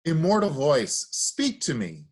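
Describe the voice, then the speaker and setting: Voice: mid-range voice, huge pitch swings, unhurried, slightly rough, full character voice. A performer playing a solemn Theban chorus member praying to the gods in an incantatory Greek tragedy reading. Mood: pleading, reverent.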